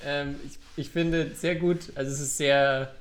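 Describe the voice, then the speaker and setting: Higher-voiced man, casual podcast host. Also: steady tone, a little slow. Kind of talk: casual chat on a badminton podcast.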